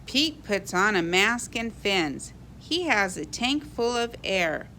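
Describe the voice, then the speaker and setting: A woman reading a children's picture book aloud in an expressive, animated way for young kids. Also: mid-range voice, takes it slowly, a little hiss or hum. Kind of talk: expressive read-aloud storybook narration.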